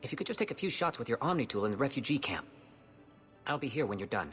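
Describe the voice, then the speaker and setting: Eager, fast-talking filmmaker. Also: male voice, medium pitch, very animated, a bit bright, big-room sound, soft background music. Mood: hopeful, grateful. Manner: persuasive, earnest. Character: eager, pleading male documentary filmmaker. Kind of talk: video game acting.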